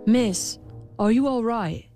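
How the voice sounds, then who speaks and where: A woman voicing a kind young prince in a storybook, gentle, earnest and reassuring. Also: on the high side, slow pace, faint music behind, voice pushed up. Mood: concerned. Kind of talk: storybook character voice.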